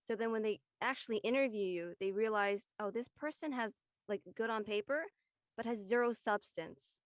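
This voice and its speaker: A woman's voice: a little high, moderate pace, dry recording, clean studio sound. A young woman, an actress talking casually in a panel discussion. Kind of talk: casual chat.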